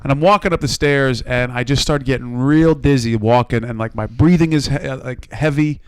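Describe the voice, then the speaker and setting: An American man with a slightly hoarse voice, co-hosting a podcast with casual, profane, self-deprecating storytelling that rambles. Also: medium pitch, moderate pace, dry recording. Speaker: podcast co-host. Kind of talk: casual comedic storytelling among friends.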